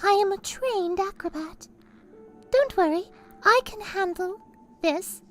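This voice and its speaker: A woman's voice: very high voice, animated, measured pace, a bit bright, faint music behind, heavily acted. A woman voicing a whimsical, plaintive female circus jester with quaint, dramatic rhyming lines in a fantasy game fan dub. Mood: hopeful.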